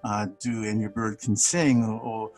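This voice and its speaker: A man in his sixties, a studio manager and bass player, chatting casually on a video call, rambling with many restarts and chuckles. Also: flat delivery, measured pace, darkish tone, soft background music. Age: 60s.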